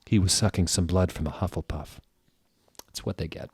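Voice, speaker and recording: A man with a fairly low voice reads fan fiction aloud as comedy. His delivery is theatrical and sardonic, and he drops out of the story for asides. The recording is close and dry. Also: normal speed, darkish tone, breathy edge, no room sound. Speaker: reader. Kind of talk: mock-dramatic comedic reading of fan fiction.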